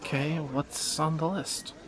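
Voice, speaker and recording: A man playing a teasing, protective captor turned lover in a romantic fantasy audio roleplay, bantering and soft-spoken. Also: a little high, fairly even intonation, measured pace, a crowd in the background, lightly acted, rough recording. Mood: teasing, curious.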